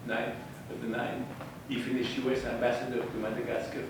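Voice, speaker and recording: A man's voice: medium pitch, completely flat delivery, brisk. Older man with a Belgian accent, echoey room. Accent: Belgian Dutch-French. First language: French. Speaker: eyewitness speaker. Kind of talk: storytelling talk to an audience. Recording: distant mic, echoey room, audible noise.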